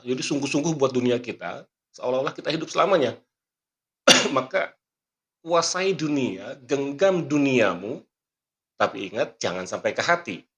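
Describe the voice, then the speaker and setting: Throat-clearing man giving a motivational talk. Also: medium pitch. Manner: preachy, earnest, quotes scripture and sayings. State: coughing, not fully well. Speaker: magician and motivational speaker. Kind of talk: motivational podcast talk with a magic trick demo.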